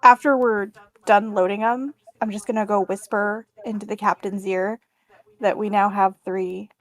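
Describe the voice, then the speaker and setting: A woman's voice: medium pitch, steady tone, normal speed. A woman speaking casually as a player in a tabletop roleplaying game, improvising her character's actions.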